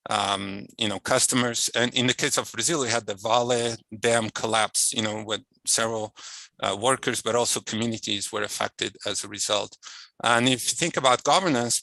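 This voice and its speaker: A man's voice: medium pitch, sharp tone, no echo, low-quality recording. A man moderating an academic finance panel on a video call, speaking with many fillers and restarts. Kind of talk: academic webinar introduction. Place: indoor office or home room. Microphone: headset on a video call.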